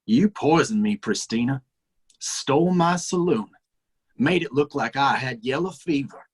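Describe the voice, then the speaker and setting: A man's voice: mid-range voice, lively intonation, measured pace, slightly rough. A man reading a screenplay aloud, voicing the spirit of a dead husband that speaks through a child, eerie and coaxing. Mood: accusing.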